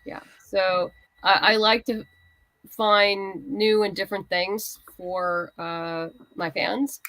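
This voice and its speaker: A woman's voice: medium pitch, fairly even intonation, takes it slowly, fairly bright tone, dry recording, poor audio. A woman speaking as an interview guest, chatting casually as a creator talking up a project. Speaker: guest writer and comics creator. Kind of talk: casual interview chat, plugging a crowdfunding campaign.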